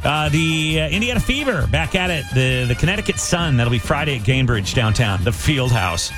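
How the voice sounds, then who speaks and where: Radio host, male, brisk and joking, music bed underneath. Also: mid-range voice, sing-song. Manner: brisk, joking, reads listings quickly. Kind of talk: casual radio banter and sports rundown.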